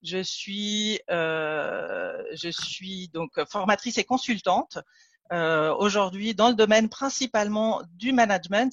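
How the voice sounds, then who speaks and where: A woman's voice: mid-range voice, dry recording. Woman from Ticino, Italian native tongue, hesitant webinar trainer; dull, dry mic. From Ticino, Switzerland. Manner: hesitant, many fillers and restarts. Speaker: trainer and consultant. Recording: dull lo-fi dry call audio.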